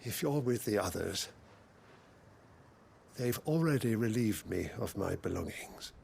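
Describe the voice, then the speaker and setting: An actor playing a courteous, deferential male attendant to a noble house in a video game, formal and measured. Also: lowish voice, expressive, gravelly, darkish tone, slight background noise, heavily acted. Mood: weary, formal. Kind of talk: video game cutscene acting.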